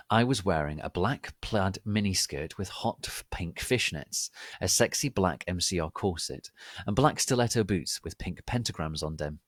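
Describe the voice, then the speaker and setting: A man's voice: low voice, dry recording, studio quality. A man narrating a piece of fan fiction as a theatrical, mock-serious dramatic reading.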